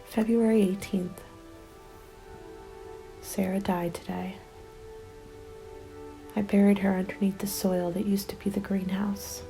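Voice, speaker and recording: A woman playing a weary, hopeless apocalypse survivor, giving a sombre diary monologue in a soft, breathy half-whisper as ASMR roleplay. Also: medium pitch, little pitch movement, soft background music, low-quality recording. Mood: bleak resignation. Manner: resigned, sombre, deliberate.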